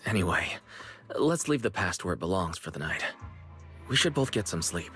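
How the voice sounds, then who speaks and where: Low-voiced thoughtful young man. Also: sing-song, normal speed, fairly bright tone, faint music behind. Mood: gentle, concerned. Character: thoughtful, considerate young man, a brother figure. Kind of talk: video game voice acting.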